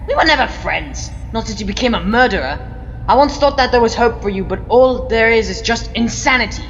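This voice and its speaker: A woman's voice: on the high side, lively intonation, a little fast, faint music behind. Woman acting an indignant sci-fi heroine, reverberant. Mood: angry, anguished. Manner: accusing, dramatic, emphatic. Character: indignant, righteous female Time Lord hero confronting a villain. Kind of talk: fan audio drama acting. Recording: dull, noisy recording with long reverb.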